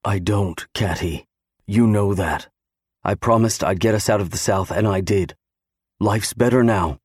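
A man's voice: fairly low pitch, a little slow, darkish tone, slightly rough, dry recording, clean studio sound. A man voicing a steadfast young navy serviceman, earnestly reassuring his wife in an audiobook. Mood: earnest reassurance. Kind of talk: audiobook character acting.

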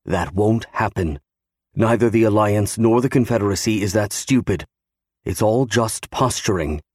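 Male reader as young navy husband, steadfast, reassuring, earnest, lowish voice, dry audiobook sound. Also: normal speed, darkish tone, dry recording, natural voice. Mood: earnest reassurance. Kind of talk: audiobook character acting.

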